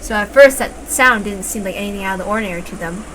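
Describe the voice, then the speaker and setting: A woman's voice: mid-range voice, fast, a bit bright, poor audio. A woman narrating a story aloud as a reader, expressively and with informal asides. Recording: clear background noise, like rain.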